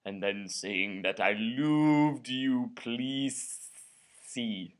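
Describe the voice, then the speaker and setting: A man's voice: medium pitch, drawn-out words. A young man giving an over-the-top dramatic reading of a letter, emphatic and theatrical, with words drawn out for effect. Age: young adult. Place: indoor room with drop-tile ceiling.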